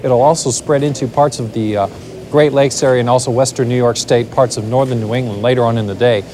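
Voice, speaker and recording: Male TV weather presenter, fast and loose, faint tape hiss. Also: mid-range voice, fairly even intonation, dark tone. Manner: brisk, loose delivery with fillers. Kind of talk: live TV weather forecast, ad-libbed.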